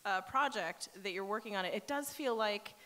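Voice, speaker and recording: A woman's voice: mid-range voice, normal speed, bright, forceful tone. A woman hosting a radio interview, asking questions in a conversational style. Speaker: interviewer, radio show host. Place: large hall at a live radio show. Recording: reverberant hall sound.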